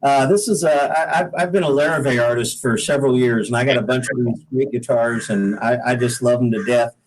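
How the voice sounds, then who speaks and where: A man from the Pacific Northwest, a singer-songwriter, chatting casually as an interview guest in a halting, repetitive way. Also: medium pitch, slight background noise, poor audio. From Pacific Northwest, United States.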